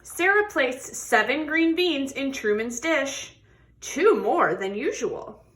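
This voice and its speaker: A woman with a bright, fairly high voice reads a children's storybook aloud. She takes her time, drawing out key words for young listeners. Her delivery is expressive, in the manner of someone guiding children through the pages. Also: takes it slowly. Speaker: read-aloud storybook reader. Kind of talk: animated children's picture book read-aloud with questions to listeners.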